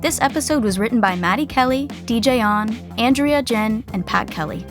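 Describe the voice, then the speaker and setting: A woman's voice: fairly high pitch, animated, normal speed. A woman reading scripted comedic narration for a sitcom. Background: music.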